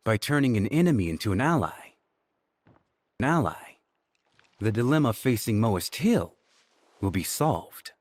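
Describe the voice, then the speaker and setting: A young, quietly confident diplomat in a dramatic game. He is articulate and deliberate, with a low-set voice that moves expressively. The sound is dry. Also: big pitch swings, no echo. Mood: confident, persuasive.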